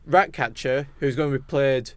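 A man's voice: medium pitch, fairly even intonation, normal speed, clean tone, dry recording. A man co-hosting a podcast, talking casually through film news. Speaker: podcast co-host.

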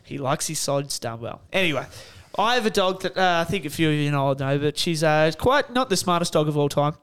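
Man, Australian accent, slightly high voice, casual podcast banter, rambling anecdotes. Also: animated, a little fast, a bit bright, clean tone. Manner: rambling, self-interrupting. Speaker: podcast panellist.

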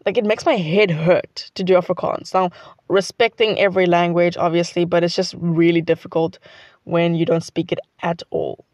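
South african teen girl rambling to her vlog, lowish voice, lots of "like", dry close sound. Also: flat delivery, normal speed, a little mellow, no room sound, muffled recording. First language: Xhosa. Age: teens. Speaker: student vlogger. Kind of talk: casual vlog chat.

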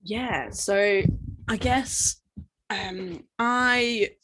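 A woman's voice: medium pitch, extremely slow, a bit bright, rough recording. A British woman from the UK, a storytelling coach, talking as a guest in a chatty way with many fillers. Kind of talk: podcast interview.

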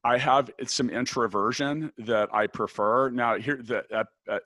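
A man with a big, deep voice answering interview questions thoughtfully, restarting his sentences often. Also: steady tone, measured pace, sharp tone, dry recording. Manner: thoughtful, many restarts and fillers. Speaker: podcast guest, business founder.

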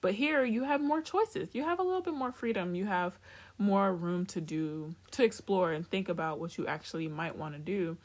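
Woman podcast co-host from Africa, slightly low voice, candid and easygoing. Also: animated, moderate pace. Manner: candid, laughs often, drifts off topic. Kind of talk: casual chat.

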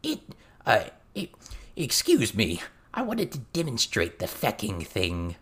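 A man voicing a crude, excitable little male goblin who lectures haltingly and theatrically in an audiobook. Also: on the low side, husky, full character voice. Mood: halting, explaining.

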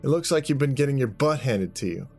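A man's voice: medium pitch, lively intonation, a little slow, faint music behind, voice pushed up, close to an everyday voice. A man voicing a cocky, sarcastic young American fighter who taunts his opponent, in an audiobook. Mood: mocking.

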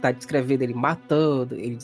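A man's voice: medium pitch, steady tone, fast talker, a little mellow. Brazilian guy as game master, telling the horror story like he's just chatting, music playing behind. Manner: casual, conversational. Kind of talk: improvised narration of a tabletop horror game.

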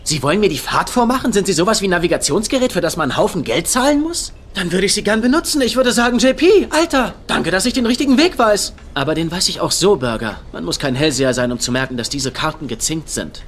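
An actor in a German-dubbed TV comedy playing a cocky, theatrical psychic who teases and chatters. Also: male voice, high-pitched, expressive, fast talker, hardly any pauses, slight background noise. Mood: mock-serious, taunting. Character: flamboyant, cocky man playing a psychic at poker. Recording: music behind the voice.

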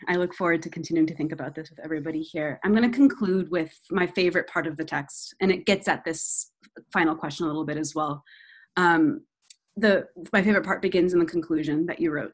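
A young woman interviewing a scholar, reading a passage aloud and asking reflective questions in a measured way. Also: low voice, breathy edge. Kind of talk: academic interview, reading a quotation.

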